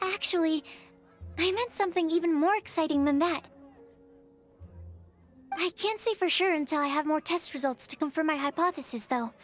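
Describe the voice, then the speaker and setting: A woman playing a shy, earnest young alchemist in a video game, speaking in a precise, modest, bookish way. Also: extremely high pitch. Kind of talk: video game dialogue acting. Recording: soft game music behind the voice.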